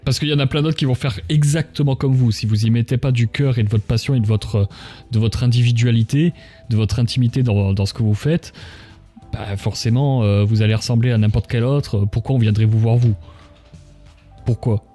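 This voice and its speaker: Mellow-voiced man hosting a casual stream. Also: medium pitch, steady tone, a little fast. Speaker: livestream host, interviewer. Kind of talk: casual chat, interviewing. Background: background music.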